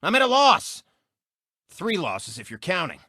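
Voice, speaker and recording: A man's voice: sits high, huge pitch swings, unhurried, bright, forceful tone, no room sound, clean studio sound, exaggerated voice. A man voicing a cocky, gloating cartoon race car who hosts a sports show in a video game, brash and theatrical. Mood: showy sportscasting. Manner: brash, smug, theatrical showman. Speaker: racer and TV racing commentator. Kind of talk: animated video game sports commentary and taunts.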